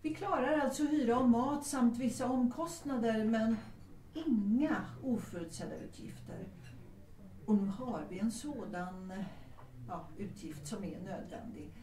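A woman's voice: a little high, warm tone, lightly acted. Woman acting posh unsentimental widow lady in a 1930s audio drama, very formal, bit distant and roomy. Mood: matter-of-fact. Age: middle-aged. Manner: formal, dignified, matter-of-fact. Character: proud, unsentimental widowed lady of good family, 1932 Vienna.

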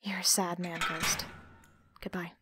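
A woman with a husky, breathy voice playing a wounded, reproachful captive in an audio roleplay drama. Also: fairly low pitch, flat delivery, a little slow, noisy background, close to an everyday voice.

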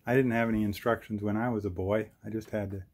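A man's voice: on the low side, steady tone, fast, darkish tone. A middle-aged man chatting casually in a dry, teasing way. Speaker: person filming and supervising. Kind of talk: casual chat.

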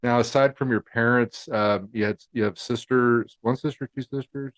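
A man's voice: mid-range voice, fairly even intonation, moderate pace. An older man hosting an interview on a video call, asking his questions in a friendly, conversational way with many filler phrases. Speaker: host. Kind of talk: casual interview. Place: indoors, in front of a virtual studio backdrop. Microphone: headset with mic on a video call.

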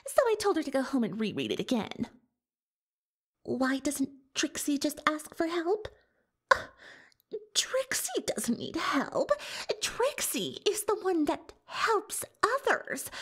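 Actress for a self-important unicorn pony magician, cartoon ASMR roleplay: very animated, unhurried delivery, haughty and easily defensive. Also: female voice, sits high, full character voice. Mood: indignant, defensive. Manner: pompous, third-person bragging, hesitant when cornered. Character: boastful, defensive female unicorn pony magician turned librarian.

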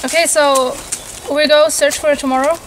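Young woman as earnest lost girl in a fairytale, high voice, kinda hesitant, amateur film. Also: fairly even intonation, moderate pace, background noise, close to an everyday voice. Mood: eager. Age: young adult. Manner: hesitant, questioning. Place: outdoors in woodland.

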